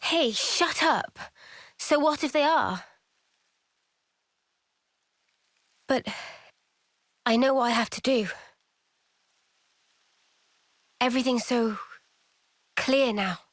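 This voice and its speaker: A woman playing an earnest, sensitive young soldier in a fantasy game drama, speaking softly and hesitantly. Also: high voice, measured pace, long pauses, dry recording. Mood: quietly resolved. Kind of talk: dramatic game cutscene acting.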